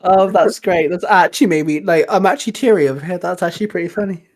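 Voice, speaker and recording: A man's voice: high voice, fast talker. A man hosting a podcast in a casual, conversational style. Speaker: podcast host and audio drama writer. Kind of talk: casual chat.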